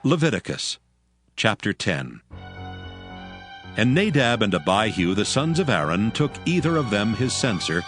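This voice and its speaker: A man narrating scripture from a script in a solemn, measured reading. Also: on the low side, big pitch swings, fairly bright tone. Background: background music.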